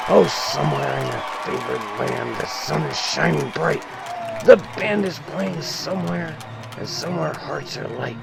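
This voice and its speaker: A man reads a classic poem as a theatrical recitation, emphatic, moving between loud declaiming and hushed, drawn-out phrases. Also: on the high side, creaky. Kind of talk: theatrical dramatic poetry recitation. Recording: music and crowd noise behind. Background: music and crowd sounds.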